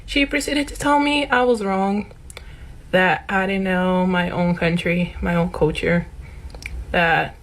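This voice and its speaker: A woman's voice: fairly low pitch, steady tone, slow pace, rough recording. A woman from Brazil telling a personal story in an earnest, indignant tone. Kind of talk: casual anecdote.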